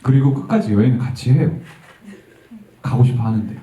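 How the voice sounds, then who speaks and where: A male actor answering an audience question, thoughtful and halting, with soft laughs. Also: medium pitch. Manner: reflective, halting, laughs softly. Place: event hall. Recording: tinny, distant sound in a roomy hall.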